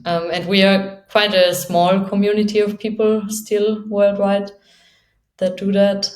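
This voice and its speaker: A woman's voice: medium pitch, level tone, measured pace, a little mellow, roomy sound. A young woman from Austria with an Austrian accent, an athlete talking casually in an interview and laughing often between phrases. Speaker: guest, downhill skateboarder.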